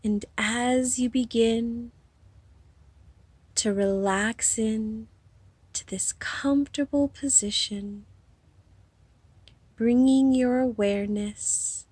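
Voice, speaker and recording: A woman leading a guided hypnosis meditation in a soothing, unhurried manner, with a breathy, soft-edged voice and long pauses between phrases. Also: fairly high pitch, steady tone, dry recording.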